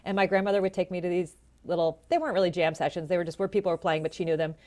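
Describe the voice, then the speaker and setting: A woman in her forties with a low voice, telling her story in a chatty, animated way as an interview guest. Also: very fast. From Florida, USA. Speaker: jazz vocalist and educator, guest.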